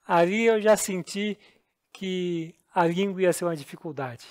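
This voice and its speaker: Brazilian man, podcast co-host, high-pitched, earnest self-deprecating storyteller. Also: unhurried, a little mellow. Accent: Brazilian Portuguese. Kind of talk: casual storytelling chat.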